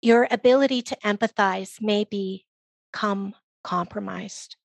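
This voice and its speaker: A woman's voice: on the high side, no room sound. A woman speaking as an expert guest, answering interview questions in an explanatory, conversational way. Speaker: literacy program guest expert.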